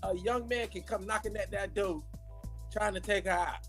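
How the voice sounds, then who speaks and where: A man's voice: sits high, normal speed, over music. A man in his early thirties bantering casually as a panelist, insistent and repeating his phrases. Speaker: talk show panelist.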